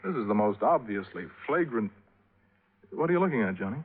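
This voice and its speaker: An American actor playing an earnest, insistent insurance company executive in 1950s radio drama, emphatic and businesslike. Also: male voice, on the low side, sing-song, a little fast, slightly rough, narrow, tinny audio. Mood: puzzled, emphatic. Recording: thin, band-limited vintage radio recording.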